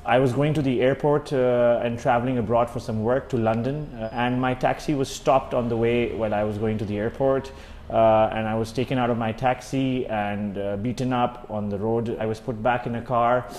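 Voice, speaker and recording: A man in his thirties from Pakistan, an Urdu speaker, telling his own story in an interview, pausing often with fillers and restarts. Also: mid-range voice, a little mellow, a little hiss or hum. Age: 30s. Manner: hesitant, many fillers and restarts. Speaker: exiled journalist. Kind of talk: personal testimony interview. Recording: roomy with faint ambience.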